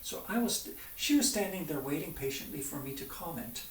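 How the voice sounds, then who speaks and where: A man talking casually in an interview as a teacher looking back on his work, with an expressive way of telling it. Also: fairly high pitch, fairly quick. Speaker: private violin teacher. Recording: roomy, distant mic, faint noise.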